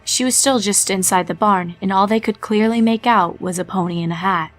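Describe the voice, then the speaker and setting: A woman's voice: mid-range voice, dry recording, soft background music. Woman reading a horror story. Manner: measured, storytelling. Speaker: narrator.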